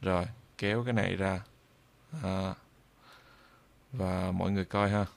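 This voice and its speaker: A man narrating an informal software tutorial in a halting way, with frequent drawn-out hesitations. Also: low voice, steady tone, creaky, a little hiss or hum. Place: indoors at a computer, screen recording voice-over.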